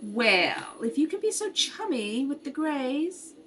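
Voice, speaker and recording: A woman's voice: sits high, big pitch swings, takes it slowly, fairly bright tone, exaggerated voice. A woman plays a haughty young society lady from a 1930s radio comedy. She teases coolly and insinuates with coy poise. The home recording carries faint noise. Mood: coy, needling.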